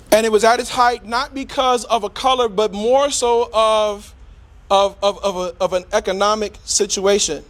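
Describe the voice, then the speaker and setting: A middle-aged man giving an impassioned, preacher-like public speech in a deliberate, rhetorical manner with emphatic repetition. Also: high-pitched. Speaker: community speaker.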